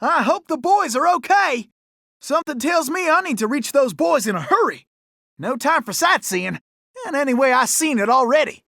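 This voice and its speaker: An actor playing a cocky, gallant young cowboy outlaw in a video game, with a folksy, exclamatory Old West Southern drawl. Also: male voice, very high-pitched, lively intonation, moderate pace, vocal fry, bright, forceful tone, dry recording, clean studio sound, heavily acted. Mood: folksy, determined. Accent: American Old West Southern drawl. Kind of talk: animated video game character acting.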